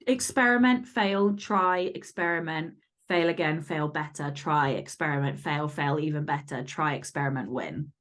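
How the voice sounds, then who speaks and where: A woman's voice: low-pitched, normal speed, few pauses, a bit bright. A woman chatting candidly as an interview guest, speaking in an emphatic, blunt and sweary way. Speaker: podcast guest, fiction author. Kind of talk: casual, candid interview chat.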